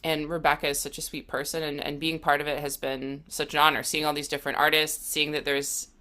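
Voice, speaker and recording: A woman's voice: low voice, little pitch movement, fairly quick, bright, forceful tone. A woman talking casually as an interview guest, a musician speaking in an earnest, reflective way.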